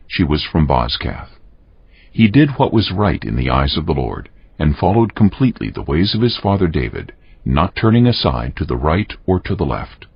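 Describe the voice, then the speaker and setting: A man narrating scripture from a script in a solemn, measured, deliberate way. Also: sits low, creaky, slightly rough, dull audio.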